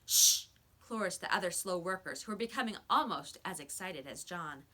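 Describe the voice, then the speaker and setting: A woman reading a children's story aloud in expressive narration. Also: lowish voice, a little fast. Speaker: storybook reader.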